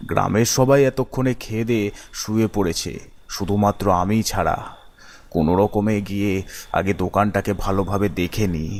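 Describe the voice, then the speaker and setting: A man voicing a kind, worried middle-aged village shopkeeper who muses slowly and gently in an animated story. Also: on the low side, pitched below the performer's own voice, lightly acted. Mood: worried, musing.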